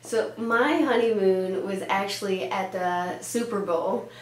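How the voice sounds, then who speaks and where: A woman's voice: medium pitch, slow pace. A woman in her thirties, born in Denmark with Danish as her first language, chatting casually as a pediatrician answering interview questions, with lots of "uh" and "um". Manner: chatty, with frequent "uh" and "um" fillers. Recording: echoey room with faint hum.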